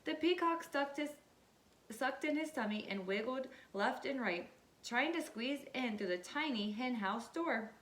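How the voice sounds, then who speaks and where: A woman's voice: sits high, animated, measured pace, fairly bright tone. A woman teacher reading a children's storybook aloud and checking in with her listeners in an instructive way. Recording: echoey room.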